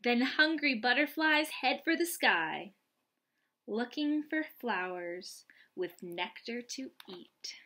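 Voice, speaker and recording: A young adult woman reading aloud and explaining gently, as a teacher does for young children. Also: a little high, expressive, takes it slowly. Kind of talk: children's read-aloud and lesson. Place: small lamp-lit room at home, indoors.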